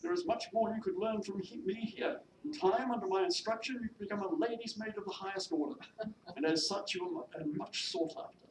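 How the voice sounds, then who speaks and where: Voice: medium pitch, a little fast, voice pushed up, close to an everyday voice. Reader voicing an imperious, peevish gentry widow. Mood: condescending. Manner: imperious, scolding, formal. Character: haughty, peevish Welsh gentry widow, bossy employer. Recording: noisy echoey room, distant mic.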